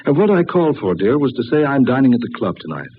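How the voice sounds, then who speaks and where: An actor playing a genteel, uneasy, well-to-do gentleman in an old radio drama, with a dramatic delivery. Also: medium pitch, very animated, fast, lightly acted. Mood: genteel, courteous. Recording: muffled, noisy old radio recording.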